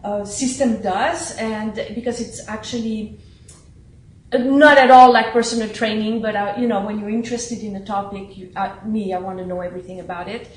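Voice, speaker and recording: A middle-aged woman from Switzerland, a guest talking about her work as a trainer and author in an eager, lively way with quick laughs. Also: medium pitch. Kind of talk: interview answers. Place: studio. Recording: echoey studio room, faint noise.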